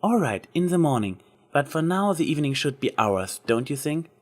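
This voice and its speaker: A man voicing a gentle, sincere and smitten female unicorn with a soft, earnest manner in a story reading. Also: a little high, big pitch swings, moderate pace, pitched above the performer's own voice. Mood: surprised, affectionate.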